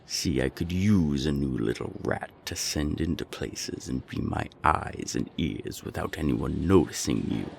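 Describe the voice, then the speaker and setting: A man playing a menacing naga gang boss in an audio roleplay, gravelly and deliberate, with cold, taunting threats. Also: slight background noise, exaggerated voice. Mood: quiet possessive menace. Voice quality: very deep, gravelly. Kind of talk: audio roleplay drama acting.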